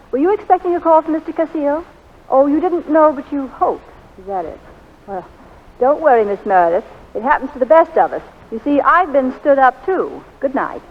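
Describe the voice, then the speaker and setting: A woman's voice: sits high, slight background noise. A woman playing a poised, wry doctor and new wife in a 1940s radio drama. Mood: wry, wounded. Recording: muffled 1940s radio broadcast.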